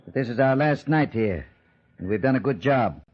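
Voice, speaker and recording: An actor playing a cold, contemptuous saboteur with a smug, measured delivery in a 1950s-style radio drama. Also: male voice, mid-range voice, animated, slightly rough. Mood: smug, scornful. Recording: muffled old radio recording, background noise.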